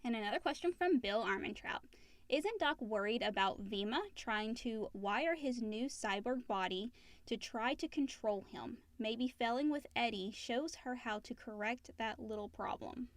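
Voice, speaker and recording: A woman's voice: on the high side, moderate pace, no room sound. A woman speaking casually as a co-host who reads out and discusses listener questions.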